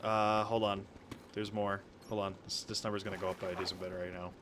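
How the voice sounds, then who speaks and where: A man chatting casually with friends while playing a game, jokey and quick to chuckle over a noisy voice chat. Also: sits low, little pitch movement. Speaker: gamer playing Minecraft with friends.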